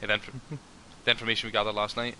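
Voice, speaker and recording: A rambling, friendly man with a lowish voice and a very bright, forward tone. He fills his talk with ums and restarts as he chats in character. A faint hum of ambience sits behind him. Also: level tone, racing, rough recording. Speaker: roleplay player as a ranger. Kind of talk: in-character roleplay chat.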